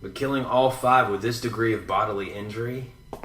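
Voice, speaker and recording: An actor playing a cynical, sarcastic big-city detective in a radio drama, blunt and skeptical. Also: male voice, fairly low pitch, fairly quick, fairly bright tone, lightly acted. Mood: probing, skeptical. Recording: roomy echo with music behind. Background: music.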